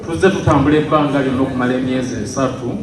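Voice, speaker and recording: A man from Uganda giving a lively speech to a community meeting. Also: mid-range voice, racing. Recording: roomy hall from far off, noisy background.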